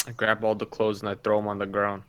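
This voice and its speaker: A man chatting casually and haltingly as a player in a tabletop game. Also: fairly low pitch, monotone, a bit bright. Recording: faint noise with insect sounds.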